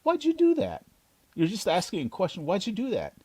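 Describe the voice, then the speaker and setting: A man's voice: sits high, huge pitch swings, a little fast, darkish tone, breathy edge. An American man chatting frankly, telling stories and slipping in Filipino words. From United States. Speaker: podcast co-host. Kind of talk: casual chat.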